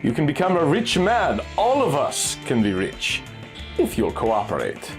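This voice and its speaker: A man's voice: fairly high pitch, wildly swooping pitch, a little slow, faint music behind. A man voicing a cool, scheming middle-aged Austrian geologist in a pulp adventure story, speaking with casual persuasion. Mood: serious, coaxing.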